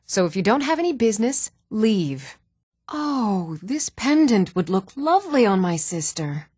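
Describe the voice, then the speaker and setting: An actress playing an even-toned fantasy townswoman in scripted video game dialogue, with a measured, composed delivery. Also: medium pitch, slightly rough, dry recording, clean studio sound. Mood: guarded, composed.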